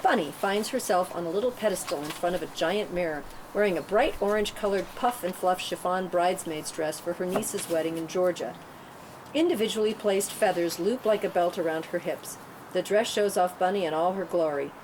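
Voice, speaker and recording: A woman's voice: lowish voice, fairly quick. A woman reading stage directions as scripted narration at a table read. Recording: clearly noisy room recording.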